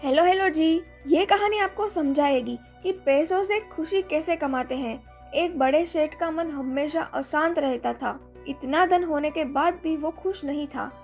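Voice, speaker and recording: A woman's voice: high-pitched, a little slow, a little mellow, faint music behind. A woman reading scripted moral stories for children as a narrator. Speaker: story narrator.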